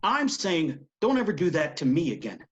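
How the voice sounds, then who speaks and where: A man's voice: a little high, huge pitch swings, normal speed, dull audio. A man playing a cautious, easily exasperated television producer in a screenplay table read.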